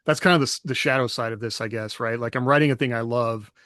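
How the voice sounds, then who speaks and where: Mid-pitched male co-host, thoughtful and halting, dry close sound. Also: a bit bright, no echo. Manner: reflective, hesitant, laughs often. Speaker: podcast co-host, author. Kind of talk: casual chat.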